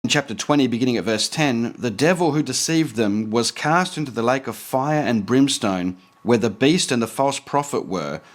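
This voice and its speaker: A man's voice: medium pitch, expressive, moderate pace, few pauses. Earnest man preaching and reading aloud. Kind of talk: preaching commentary with read-aloud quotations.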